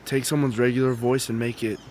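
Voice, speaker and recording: A man's voice: medium pitch, flat delivery, a little fast, darkish tone, natural voice. A man playing an intense, haunted young fighter in an amateur radio drama, urgent in delivery. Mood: shaken. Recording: noisy, outdoor bird ambience.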